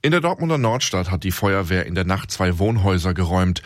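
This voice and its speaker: A man presenting regional radio news in a measured, formal way. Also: low voice, very animated, dry recording, studio quality. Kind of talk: read radio news bulletin.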